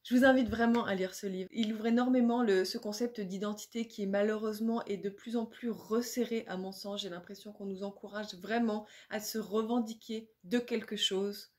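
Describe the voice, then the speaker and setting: A woman hosting a video, speaking in a reflective, earnest and explanatory way with measured phrasing. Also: medium pitch, fairly even intonation, darkish tone. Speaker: book-review video host. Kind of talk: reflective book recommendation to camera, with some read-aloud.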